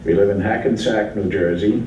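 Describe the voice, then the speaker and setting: A man's voice: fairly low pitch, brisk, lo-fi sound, close to an everyday voice. A man acting on stage as a survivor, reciting his lines plainly and steadily. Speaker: stage actor playing a survivor. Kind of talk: staged theatrical narration. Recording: echoey hall from far off, with hiss.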